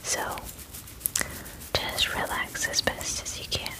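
A young woman in her twenties speaks in a soft, breathy whisper, very close to the microphone. She takes her time, drawing out her phrases in a gentle, chatty way, as in an ASMR pampering roleplay. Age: 20s. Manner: slow, gentle, chatty and unhurried. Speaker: ASMR performer playing a werewolf spa groomer. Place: small indoor room.

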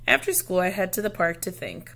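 A woman with a slightly low voice reads a children's picture book aloud. She phrases it deliberately and dramatically, as a teacher does for young listeners. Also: animated, gravelly, pushed and bright.

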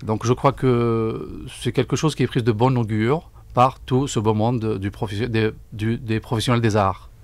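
A middle-aged man giving formal, deliberate answers in a radio interview, often repeating words as he searches for the next. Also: medium pitch, steady tone, dry recording. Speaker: book fair coordinator, interview guest. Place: radio studio. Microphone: broadcast microphone on desk stand.

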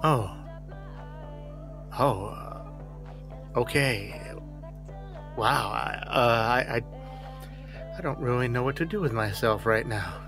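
A man's voice: mid-range voice, slow, long pauses, music in the background. An actor playing a middle-aged small-town lawman father, distraught and pleading, stammering and repeating himself in an audio drama. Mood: stunned.

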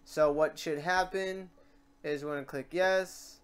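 A tutorial host talking informally and rambling, thinking aloud through an unscripted software demonstration in a voice-over for a screen recording. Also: mid-range voice, steady tone, unhurried, clear, resonant tone.

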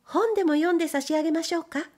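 A woman's voice: extremely high pitch, quick pace, a little mellow, pitched above the performer's own voice. A woman voicing a timid, eager young girl who speaks politely and deferentially in a storybook reading.

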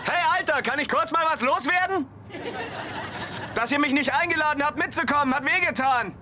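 A man's voice: extremely high pitch, fairly quick, a crowd in the background, background noise. An actor playing an earnest engaged young man in a dubbed sitcom, sounding aggrieved. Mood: hurt.

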